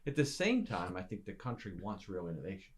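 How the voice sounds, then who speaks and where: A man from West Virginia who leads a business unit, answering interview questions with brisk, businesslike confidence. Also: sits low, very animated. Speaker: guest, business unit lead.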